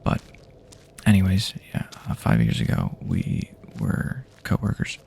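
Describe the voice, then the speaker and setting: A man doing improvised ASMR roleplay as an easygoing survivor guiding a friend, speaking to the listener in halting, casual phrases. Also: very deep voice, huge pitch swings, a little hiss or hum. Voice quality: husky, gravelly, soft-edged voice. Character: easygoing survivor guiding a friend through post-apocalyptic wasteland.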